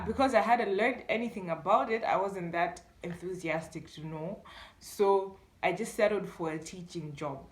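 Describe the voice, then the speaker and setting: A young woman with a Malawian accent tells a story casually to camera in an animated way, acting out bits of dialogue with interjections. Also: medium pitch. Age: young adult. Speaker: storytime YouTuber. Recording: echoey room.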